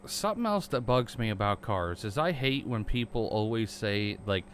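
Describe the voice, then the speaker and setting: A man's voice: fairly low pitch, expressive, normal speed, a bit bright, a little hiss or hum. A young man co-hosting a podcast, rambling and joking in casual chat, stumbling for words. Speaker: podcast co-host.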